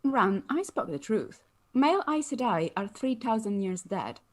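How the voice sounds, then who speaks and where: A woman playing a calm, solemn sorceress who tells of old lore at a table read. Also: fairly high pitch, unhurried. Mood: calm, instructive.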